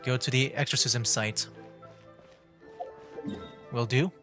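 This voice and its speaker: A man's voice: mid-range voice, fairly even intonation, slow, over music. A man giving casual livestream commentary on a game, with wry, easygoing asides. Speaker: streamer, gamer.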